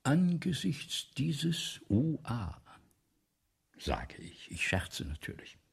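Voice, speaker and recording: An ageing, self-important writer in a radio play. His very husky voice swings widely in pitch, from declaiming to breathy near-whispers. He takes his time, pompous and halting, repeating himself like someone forgetful. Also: heavily acted. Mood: grandiose, musing. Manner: theatrical, pompous, halting and repetitive. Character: vain, ailing, forgetful old French writer, grandiose and rambling. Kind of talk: 1970s German radio play acting.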